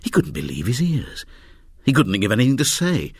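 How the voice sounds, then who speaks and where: A man narrating a scripted story as an audiobook reader, in a wry, dramatic and expressive way. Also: medium pitch, a little fast, vocal fry, slightly rough, no room sound, studio quality.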